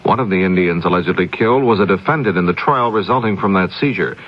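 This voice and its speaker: Low, husky 1970s radio newsman, muffled. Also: fast. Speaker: radio newscaster. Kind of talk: scripted 1970s radio news bulletin. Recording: dull lo-fi 1970s AM radio recording.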